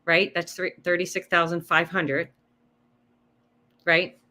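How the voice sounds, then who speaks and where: A woman's voice: fairly low pitch, slow. A woman health coach, a guest on a podcast, talking casually and explaining nutrition with numbers, often checking in with "right?". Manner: animated, explains with numbers and rhetorical questions. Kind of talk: casual interview chat.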